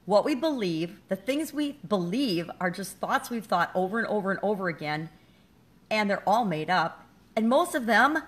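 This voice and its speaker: A middle-aged woman talking straight to her viewers in a casual motivational vlog. Her tone is candid and reflective, with a self-deprecating edge, and her voice sits at an ordinary mid pitch for a woman. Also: fairly bright tone. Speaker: business coach and vlogger. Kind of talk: casual motivational talk to camera.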